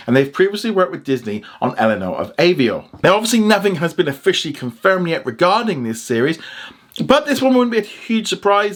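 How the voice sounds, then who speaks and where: A man with a slightly high voice and a quick delivery hosts an online news roundup. He mixes scripted reading with casual commentary as a presenter would. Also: a bit bright. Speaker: host of a streaming news show and podcast.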